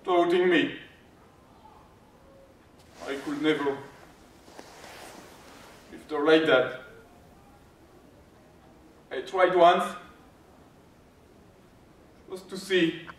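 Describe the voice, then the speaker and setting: A man's voice: on the high side, drawn-out words, long pauses. A man on stage playing an anguished, envious labourer in a readers theater drama. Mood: brooding, regretful. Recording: echoey hall from far off.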